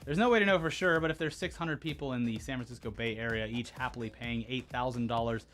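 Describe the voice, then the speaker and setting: A man co-hosting a scripted comedy news show, animated and sarcastic, laughing often. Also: mid-range voice, quick pace, faint music behind. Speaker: co-host.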